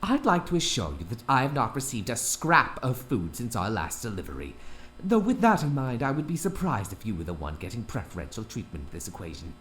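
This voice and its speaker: A man's voice: mid-range voice, huge pitch swings, a little fast, a bit bright, slight background noise. An actor playing a smug doctor in his fifties who talks with prim, mock-polite courtesy. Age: 50s. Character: smug older male doctor, oily and condescending. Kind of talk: audio drama acting.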